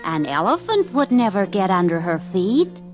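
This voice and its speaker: A woman's voice: mid-range voice, sing-song, moderate pace, soft background music, lightly acted. A woman voicing an eager, polite five-year-old boy in a children's story, earnest and exclamatory. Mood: eager, hopeful.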